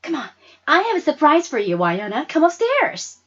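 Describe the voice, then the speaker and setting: A woman's voice: high voice, wildly swooping pitch, brisk, dull audio, voice pushed up. A woman voicing an eager, generous sixth-grade girl in a storybook reading. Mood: excited.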